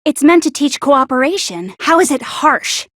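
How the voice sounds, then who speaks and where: An actress playing a paranoid, lovestruck teenage schoolgirl with a sharp tongue in an anime video game, stammering and accusatory in her dramatic delivery. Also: high voice, big pitch swings, normal speed, no room sound, studio quality. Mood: suspicious, brooding.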